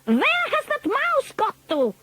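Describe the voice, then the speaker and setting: A woman playing the Grand High Witch. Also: wildly swooping pitch, takes it slowly, sharp tone, no room sound, full character voice. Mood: triumphant. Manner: grand, cold, commanding. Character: imperious cruel female witch leader.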